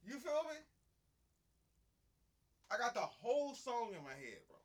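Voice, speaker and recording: A young man with a high, lively voice that swings up and down. He talks casually and crudely with a lot of animation, and he often breaks into snatches of song. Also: unhurried. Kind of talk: casual chat.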